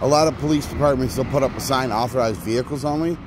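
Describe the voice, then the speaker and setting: A man's voice: mid-range voice, fast. Blunt, profane man backing up a friend. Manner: blunt, profane, backs up his partner. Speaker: camera-carrying activist. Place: outdoors on a town sidewalk. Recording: outdoor street noise.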